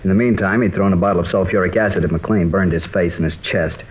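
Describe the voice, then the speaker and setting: Low-voiced, troubled American schoolteacher played by a man. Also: steady tone, fast talker, lightly acted. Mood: recounting, shaken. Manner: earnest, hesitant, explanatory. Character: earnest, troubled male high school science teacher. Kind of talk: 1950s American radio drama acting. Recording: dull, lightly noisy old radio recording.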